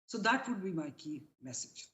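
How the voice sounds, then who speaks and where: A woman giving measured panel remarks, with a few small stumbles. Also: on the low side, sing-song, fairly bright tone.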